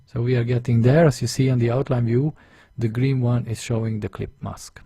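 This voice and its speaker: Man, Hungarian accent, non-native English, drawing tutor, dark mellow tone, dry close mic. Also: medium pitch, steady tone, brisk, breathy edge, no echo. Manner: thinking aloud step by step, chatty and self-deprecating. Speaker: illustration instructor. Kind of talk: screen-recorded drawing tutorial voice-over.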